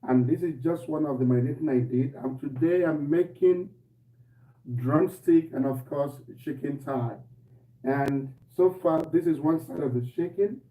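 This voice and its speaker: Casual male cooking host, slightly hoarse, somewhat high voice, echoey kitchen stream. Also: unhurried, distant mic. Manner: relaxed, repeats himself, greets viewers by name. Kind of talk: live-stream cooking demo, chatting to viewers. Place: home kitchen. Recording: echoey room, voice off-mic, faint noise.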